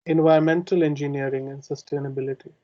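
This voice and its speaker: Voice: medium pitch, level tone, fast talker. A webinar moderator on a video call, relaying questions with brief, friendly interjections. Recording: dull lo-fi call audio.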